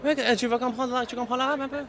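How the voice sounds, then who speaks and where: A high-voiced man in an informal religious street debate, with a clear bustle of people around him. He presses his challenge insistently, stumbling and repeating phrases as he goes. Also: fairly even intonation, quick pace. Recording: outdoor crowd noise behind the voice.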